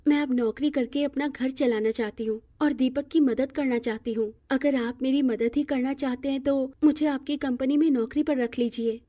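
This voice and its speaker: An earnest young married woman, voiced by a female narrator in a cartoon moral tale. She is respectful and self-reliant, with a soft, mellow, fairly high voice. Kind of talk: acted cartoon moral-story dialogue.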